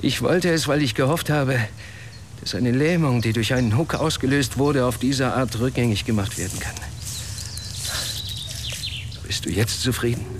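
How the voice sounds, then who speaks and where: A man's voice: medium pitch, moderate pace, noisy background, natural voice. An actor as a bitter, sardonic German man. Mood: confessional, bitter. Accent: standard German. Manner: sardonic, cutting. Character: bitter, proud paralysed inventor, a cynical man. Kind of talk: German radio drama acting.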